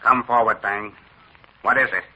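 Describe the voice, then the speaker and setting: A man's voice: lowish voice, fairly even intonation, pushed and bright, breathy edge, exaggerated voice, poor audio. An actor playing a cold, formal, scheming male villain leader in a 1940s radio drama. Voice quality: measured, formal, menacing.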